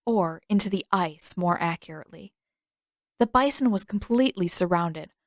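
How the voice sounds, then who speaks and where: A woman reading scripted fiction aloud as an expressive story narrator. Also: on the low side, moderate pace, no room sound, clean studio sound.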